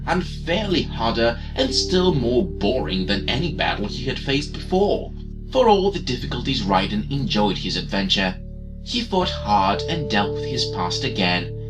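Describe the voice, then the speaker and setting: A man whose first language is Greek, narrating a game's plot as a mock bedtime fairytale in a storybook lilt that softens to a hush. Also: mid-range voice, rough recording. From Greece. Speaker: game reviewer. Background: music.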